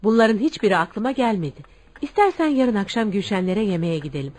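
A woman's voice: mid-range voice, very animated, a little fast, darkish tone, slight background noise, close to an everyday voice. An actress playing a kindly, middle-aged mother of a grown son in a Turkish radio play, homely and emphatic. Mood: sighing, unsure. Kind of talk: Turkish radio theatre acting.

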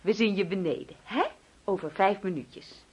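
An actress in a radio drama playing a doting, controlling mother who fusses and coaxes with sweet insistence in a husky voice. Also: mid-range voice, normal speed, darkish tone, muffled recording, lightly acted. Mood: sweetly insistent. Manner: coaxing, fussing, overbearing.

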